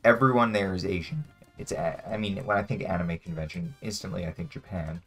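A man's voice: deep voice, a little fast, a bit bright, husky. A young adult man thinking aloud in casual chat as he plays a guessing game. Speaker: player in a geography guessing game. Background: music in the background.

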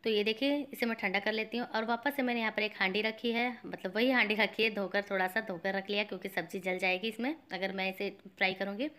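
A woman's voice: mid-range voice, fairly even intonation, quick pace, hardly any pauses, fairly bright tone. A woman hosting a home cooking channel, chatting through each step of a recipe and calling her viewers friends. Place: home kitchen.

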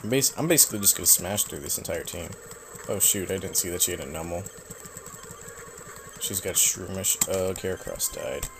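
A young man casually commenting while he plays a video game, rambling and self-mocking, his voice slightly raspy from a cold. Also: sits low, moderate pace, long pauses, background noise. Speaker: let's play host.

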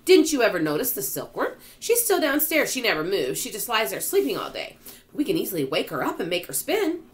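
Lady doing an old grasshopper gentleman from a storybook, sharp and excited, really animated. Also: deep voice, a little fast.

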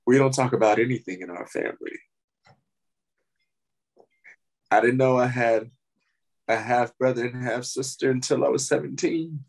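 A man's voice: medium pitch, creaky, rough recording. A man acting a bitter, weary, dying thirty-five-year-old at a script table reading. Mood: resigned.

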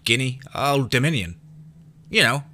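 A man's voice: mid-range voice, lively intonation, measured pace, sharp tone, a little hiss or hum, voice pushed up. A man voicing a scrappy, hungry young boy with a drawl and defiant pride. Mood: earnest. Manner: folksy backwoods drawl, pleading to defiant.

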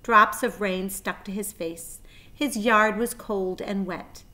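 A woman in her forties reads a children's book aloud. Her delivery is expressive, with a medium-pitched storyteller's voice. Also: slow pace. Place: small plain room indoors.

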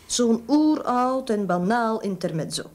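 An actress playing an opinionated, sardonic confidante of a detective in a Dutch radio drama, dry and emphatic. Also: female voice, on the high side, lively intonation, measured pace, a little mellow. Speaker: actor.

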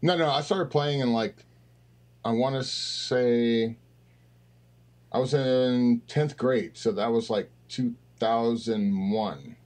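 A man's voice: medium pitch, takes it slowly, a little mellow. Laid-back man on a video call. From Tennessee, US. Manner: laid-back, rambling with fillers. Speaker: guest. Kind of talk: casual chat. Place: dark home room with blue lights.

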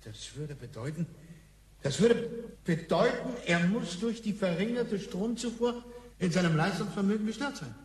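High-pitched grumbly grandfather. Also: expressive, moderate pace. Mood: patient, insistent. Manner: hesitant explaining, coaxing, grumbling. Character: grumbly, patient grandfather, inventor explaining to children. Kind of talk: German radio play acting. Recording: clear background noise.

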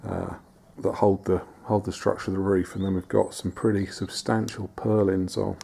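A man giving a casual, relaxed walk-around tour, filming on a handheld camera outdoors and inside a barn, often pausing with fillers and restarts. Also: low-pitched, creaky, breathy edge. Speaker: vlogger restoring a farmhouse.

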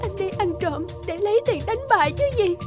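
A distraught, careworn cartoon mother. Her voice is extremely high and full of worry and reproach, with music under it. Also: animated, brisk. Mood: reproachful. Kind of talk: cartoon voice acting. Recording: music bed behind the voice.

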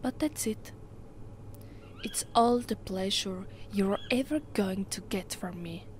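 A woman's voice: medium pitch, lively intonation, slow, slight background noise. A woman with an Italian accent playing a haughty, possessive vampire mistress in an ASMR roleplay, condescending and teasing, often dropping to a whisper. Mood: haughty, cold.

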